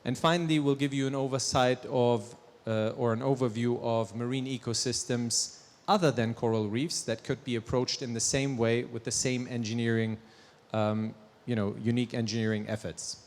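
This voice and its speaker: A man's voice: medium pitch, fairly even intonation, moderate pace. Man presenting in an echoing hall. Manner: conversational, with fillers and restarts. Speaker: company presenter. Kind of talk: conference presentation. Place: large conference hall on stage. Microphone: handheld mic. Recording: long hall reverb.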